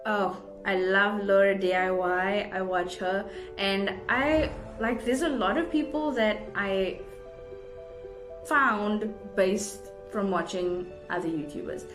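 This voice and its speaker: A woman's voice: medium pitch, slow. A young South African woman chatting casually to her viewers as a vlogger, rambling along in an effusive, gushing way. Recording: roomy indoor echo, music underneath.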